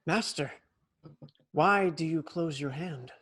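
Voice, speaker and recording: A man's voice: a little high, slow pace, a bit bright, husky, heavily acted. An actor playing an eager, cocky young boy in a sitcom table read, with earnest delivery. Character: eager, cocky young boy wanting martial arts glory. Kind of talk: sitcom table read over video call.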